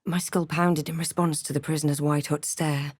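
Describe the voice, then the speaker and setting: A woman playing a brooding adventurer who narrates her own dark fantasy journey in a measured, literary storytelling style for a game. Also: sits low, level tone, husky, dry recording, studio quality. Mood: somber. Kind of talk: scripted first-person game narration.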